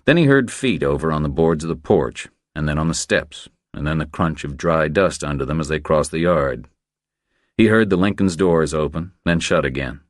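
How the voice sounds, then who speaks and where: A man's voice: extremely low pitch, normal speed, no echo, clean studio sound. A man reading scripted crime thriller narration as an audiobook narrator.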